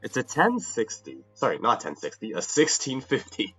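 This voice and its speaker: A man's voice: mid-range voice, wildly swooping pitch, moderate pace, a bit bright, slightly rough, faint music behind. A young man chatting casually on a livestream as he plays a game. He is a little sick and tired, his voice slightly nasal, and he rambles and restarts his sentences often. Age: young adult. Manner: rambling, self-interrupting, laughs often. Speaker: streamer. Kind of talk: casual livestream chat while gaming.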